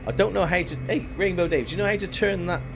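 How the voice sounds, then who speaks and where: A man hosting a casual flight simulator live stream, chatting and thinking aloud in a halting way. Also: high-pitched, creaky, over music.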